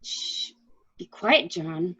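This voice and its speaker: A woman's voice: lowish voice, very animated, very slow, pushed and bright, breathy edge, exaggerated voice. A woman playing an anxious, protective, genteel wife in a murder mystery reading, apologetic and soothing. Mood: soothing, firm. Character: anxious, protective, genteel married woman hushing her companion. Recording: dull, muffled lo-fi audio.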